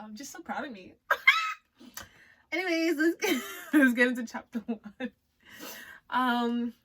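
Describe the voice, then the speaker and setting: A woman's voice: on the high side, fairly bright tone. A woman from Liberia with a Liberian accent, whose first language is not English, chatting casually with viewers and reading her own story aloud. She uses playful slang and giggles often.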